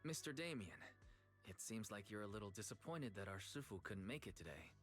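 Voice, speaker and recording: Low-voiced, shrewd young man. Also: big pitch swings, a little fast, soft background music. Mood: polite. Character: shrewd, politely cheeky young male martial disciple. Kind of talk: scripted video game voice acting.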